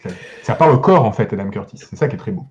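Slightly muffled recording, guy with a mellow voice chatting on a podcast, keeps hesitating n repeating words. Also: on the low side, animated, very fast. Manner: hesitant, searching for words, repeating word starts. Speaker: guest film critic. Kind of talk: casual podcast discussion.

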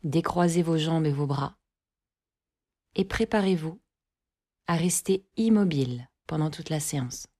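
Slow, low-pitched woman guiding relaxation. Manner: calm, measured, short phrases with long pauses. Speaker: yoga nidra guide. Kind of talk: guided relaxation meditation, scripted instructions.

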